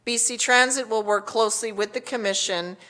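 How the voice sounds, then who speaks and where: A woman reads a scripted public address as mayor, in a formal, measured way. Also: a little high, fairly even intonation, sharp tone.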